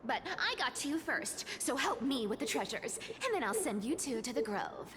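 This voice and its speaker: An actress playing a mischievous young trickster demigod thief in a video game, with a sly, playful, theatrical manner. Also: female voice, high-pitched, sing-song, moderate pace, fairly bright tone, slight background noise. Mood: breezy, boastful. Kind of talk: animated game voice acting.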